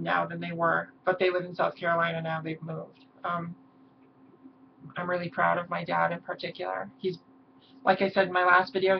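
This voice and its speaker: A hoarse young woman talking haltingly in a personal vlog, with many pauses and ums. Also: on the low side. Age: young adult. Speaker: vlogger. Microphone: camera mic. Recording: dull lo-fi mic with faint hiss.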